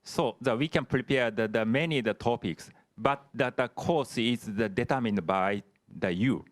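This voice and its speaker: A man who is a professor, answering questions in an online lecture in halting speech full of restarts. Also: medium pitch. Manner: halting, frequent filler "the" and restarts.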